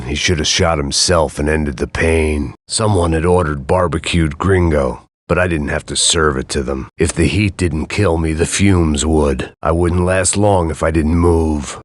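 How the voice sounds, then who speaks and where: An actor playing a weary, cynical middle-aged male bodyguard, narrating his thoughts in a dry, sardonic deadpan. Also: a bass voice, no echo. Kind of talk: video game inner monologue narration.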